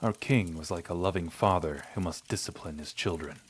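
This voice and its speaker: A man's voice: low-pitched, moderate pace, breathy edge. A man reading scripted first-person narration for an audio drama.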